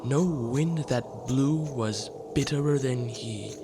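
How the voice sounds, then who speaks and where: A man narrates a dramatic story slowly and deliberately, stressing his words. His pitch is middling, and there is clear noise and ambience behind him. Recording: clearly noisy background ambience.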